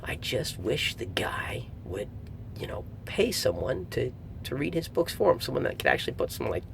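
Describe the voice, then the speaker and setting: A middle-aged man giving a casual spoken review in a conversational, emphatic way, pausing for effect. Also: sing-song, vocal fry, whispered. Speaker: audiobook reviewer. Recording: faint low rumble, like vehicle noise.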